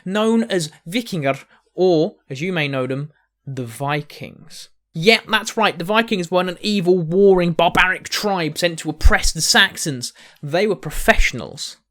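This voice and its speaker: A man's voice: sits high, animated, measured pace, fairly bright tone. A man hosting a history channel, narrating casually with jokey asides to the viewers.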